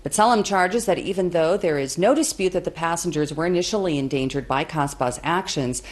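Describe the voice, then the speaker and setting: A woman news anchor reading scripted reports in a measured, formal way. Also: fairly low pitch.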